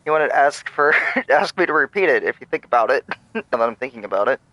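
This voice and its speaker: A man streaming a game, musing on philosophy in a rambling, thoughtful way with many pauses and fillers. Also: sing-song, bright, forceful tone, low-quality recording. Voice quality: low-voiced. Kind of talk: casual musing while livestreaming a game.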